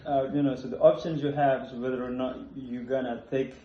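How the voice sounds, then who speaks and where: Young man from Indonesia, casual chat host, halting, repeats words, mellow tone, echoey dull room sound. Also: medium pitch, flat delivery, a little hiss or hum. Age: young adult. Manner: halting, repeats words while searching for phrasing. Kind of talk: casual conversation. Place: apartment room.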